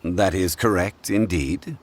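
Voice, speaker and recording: An actor playing a calm, erudite, courteous gentleman in a fantasy video game, speaking with formal composure. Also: deep voice, slow pace, a little hiss or hum. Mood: composed, assured. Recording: game music behind the voice.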